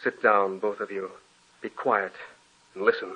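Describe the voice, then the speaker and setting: A man's voice: on the low side, flat delivery, measured pace, sharp tone, breathy edge, full character voice. An actor playing a haunted, guilt-ridden man in a 1940s radio horror play, speaking tensely and fearfully. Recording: dull old radio recording with faint hiss.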